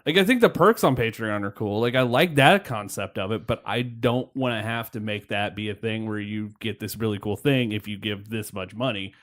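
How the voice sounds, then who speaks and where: A man in his thirties co-hosting a podcast in casual chat, excitable, laughing and swearing as he hops between thoughts. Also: lowish voice, normal speed, hardly any pauses. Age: 30s. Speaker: podcast co-host.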